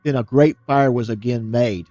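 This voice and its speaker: A man reading a fairytale aloud as a storyteller, steady and plain, now and then restarting a word. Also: medium pitch, moderate pace, dry recording, muffled recording, faint music behind.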